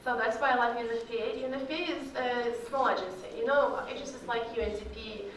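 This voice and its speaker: A woman's voice: fairly high pitch, fairly even intonation, fast talker, a little hiss or hum. Young woman in echoey hall, far off. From Kyrgyzstan. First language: Russian. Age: young adult. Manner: casual, hesitant, many fillers. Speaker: alumni guest speaker. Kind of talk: Q&A talk to students. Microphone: far from the mic. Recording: roomy hall audio from far off.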